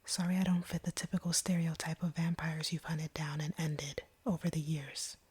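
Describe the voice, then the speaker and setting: A woman plays a female vampire with feelings for the hunter she faces. Her voice is extremely breathy and hushed, sitting a little low. She speaks in a deliberate, earnest whisper, as in an intimate audio roleplay, conflicted beneath her composure. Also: fairly even intonation. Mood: wounded, resentful.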